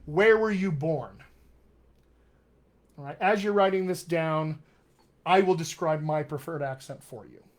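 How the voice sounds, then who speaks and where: A man's voice: a little high, measured pace, long pauses. A man with a General American accent with hints of West Texas and upstate New York, hosting a casual live Q&A as a dialect coach in an upbeat, teacherly way. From Colorado, USA.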